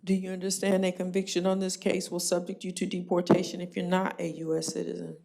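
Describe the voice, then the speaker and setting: A woman judge running a jail court hearing, brisk and plainspoken with a dry joking streak. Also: lowish voice, completely flat delivery, gravelly, mellow.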